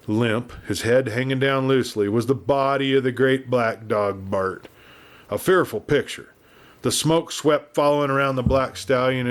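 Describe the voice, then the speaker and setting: A man reads a Western adventure as an audiobook narrator. His pitch is mid-range for a man. The delivery is dramatic and theatrical, shaped as scripted storytelling. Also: smooth.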